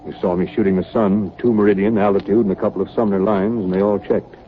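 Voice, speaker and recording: A grave, steadfast expedition captain, played by a man in 1930s radio style. His voice sits very deep and he speaks with measured authority. The old broadcast recording is boxy and noticeably hissy. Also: steady tone, close to an everyday voice. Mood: grave, matter-of-fact. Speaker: radio actor. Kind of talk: 1930s radio drama acting. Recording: muffled, noisy 1938 radio broadcast recording.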